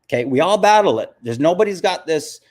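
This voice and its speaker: A man's voice: a little high, lively intonation, brisk, a little mellow. A man hosting a live stream, answering viewers and stressing points with frequent "okay?" check-ins. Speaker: host of a live business stream.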